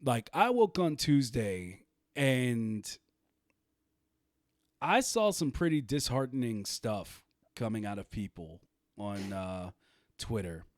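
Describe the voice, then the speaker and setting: A man's voice: mid-range voice, sing-song, slow pace, long pauses, no echo, studio quality. A man hosting a livestreamed podcast, talking casually and rambling, laughing easily. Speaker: podcast host.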